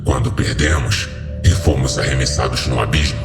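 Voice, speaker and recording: Man as ancient fallen angel, extremely low gravelly voice, grave, grandiose, long cathedral-like reverb, horror audio story. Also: fairly even intonation, moderate pace. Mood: sorrowful. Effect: cavernous echo and reverb on a lowered voice. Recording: echoing hall reverb over music.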